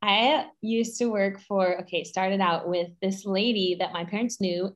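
A woman in her thirties, raised in Guatemala and Mexico, chatting as a podcast guest, bubbly and rambling with frequent laughter. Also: medium pitch. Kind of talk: casual interview chat.

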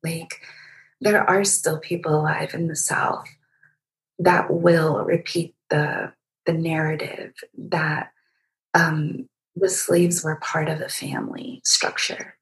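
A woman from Egypt conducting a literary interview, speaking reflectively and feeling her way through halting phrases. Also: sits low, level tone, low-quality recording.

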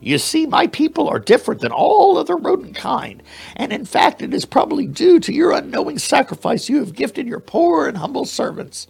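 A squeaky, fawning storybook mouse. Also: male voice, wildly swooping pitch, moderate pace, vocal fry, a little mellow, full character voice. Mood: pleading. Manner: squeaky, flowery and grovelling. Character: crafty, learned, fawning little male mouse. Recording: faint music behind.

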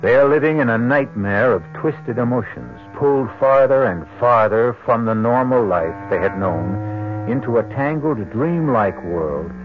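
A man's voice: medium pitch, expressive, unhurried, lightly acted. An American man as a solemn, suspenseful narrator of an old-time radio mystery, delivering scripted dramatic narration. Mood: solemn, ominous. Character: grave, ominous narrator of a mystery tale. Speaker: narrator, host. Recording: muffled lo-fi vintage radio recording. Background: loud dramatic music.

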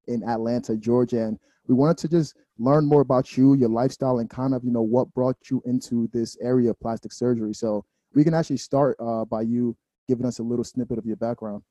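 A man hosting a casual interview, chatty and relaxed, leaning on fillers as he introduces his guest. His voice sits in a dry space with no room echo. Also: medium pitch, a little fast, hardly any pauses. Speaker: interview host.

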